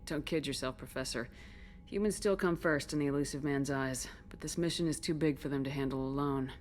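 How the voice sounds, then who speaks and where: An actress playing a firm, composed human military commander in a video game. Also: female voice, low-pitched, a little fast, a little hiss or hum, natural voice.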